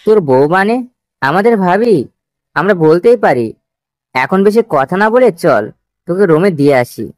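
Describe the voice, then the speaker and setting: Lively bossy male best friend, acted by a man. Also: high voice, a little slow, pauses often, dry recording. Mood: nagging, teasing. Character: male office manager, loyal casual best friend. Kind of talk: audio love story acting.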